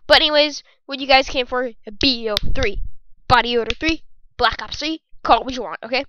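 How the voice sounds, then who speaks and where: Young host, squeaky and bright, chatty and self-correcting; dry close bedroom sound, slightly dull audio. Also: female voice, fairly quick, clean tone, no echo. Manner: rambling, jokey, self-correcting. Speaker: podcast host. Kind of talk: casual solo gaming podcast.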